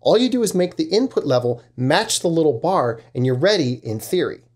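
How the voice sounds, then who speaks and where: A man's voice: a little high, animated, fairly quick, darkish tone. A man in his thirties giving a casual, plain-spoken scripted explainer as a review host, recorded at a desk microphone in a small room. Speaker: host of a vintage audio gear review channel.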